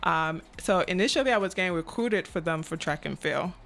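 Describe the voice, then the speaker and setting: A woman from Cameroon in her twenties, a podcast guest talking casually and reflectively, often checking in with "right?" and pausing on "um". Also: fairly low pitch, lively intonation, gravelly, a bit bright. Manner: reflective, frequent "right?" and "um" fillers. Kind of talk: casual interview chat.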